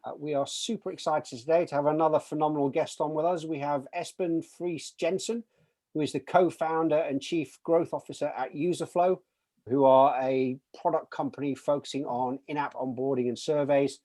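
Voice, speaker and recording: A man's voice: a little high, steady tone, normal speed, dark tone. A man hosting a podcast in an effusive, conversational and enthusiastic way. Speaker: podcast host.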